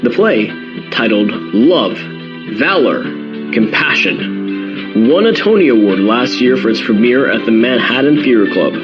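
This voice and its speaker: A man's voice: mid-range voice, animated, measured pace, muffled recording, over music, close to an everyday voice. A man playing a genial morning television host, reading scripted news in presenter style. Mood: upbeat, informative. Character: genial male American breakfast television host.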